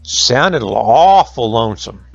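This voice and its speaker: A man's voice: mid-range voice, big pitch swings, takes it slowly, gravelly, no room sound, muffled recording, faint music behind. A man telling a folksy tale to children in colloquial dialect. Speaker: storyteller. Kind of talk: folksy oral storytelling for children.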